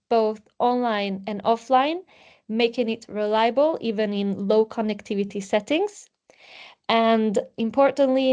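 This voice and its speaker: A woman's voice: fairly high pitch, a little mellow. Woman presenting a product demo. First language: Italian. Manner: explanatory, with frequent um fillers. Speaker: webinar presenter.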